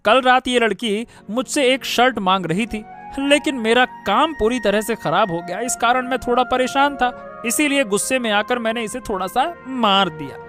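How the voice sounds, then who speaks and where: A man's voice: extremely high pitch, normal speed, a bit bright, soft background music. A man voicing a troubled, apologetic village father in a cartoon story, coaxing and explaining.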